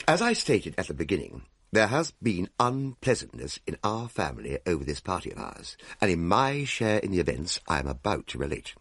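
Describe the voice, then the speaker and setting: A self-important young English nephew in a Victorian radio comedy of ghosts. The voice is rough-edged and rises and falls sharply. Every phrase is delivered with mock-heroic dignity. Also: male voice, fairly low pitch, heavily acted. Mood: dignified indignation. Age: young adult. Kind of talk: radio drama narration and dialogue.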